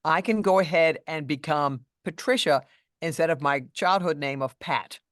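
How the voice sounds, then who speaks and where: Woman with a deeper voice. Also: no echo, clean studio sound. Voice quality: deeper voice than many women. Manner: measured, thoughtful, with restarts and fillers. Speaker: podcast guest, voice coach. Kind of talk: casual interview conversation.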